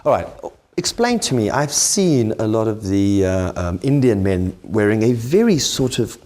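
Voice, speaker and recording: A man's voice: on the low side, sing-song, moderate pace, darkish tone. A man hosting a cooking show, with a South African accent, asking playfully naive questions and joking with his guest. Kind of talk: comic banter on a cooking show.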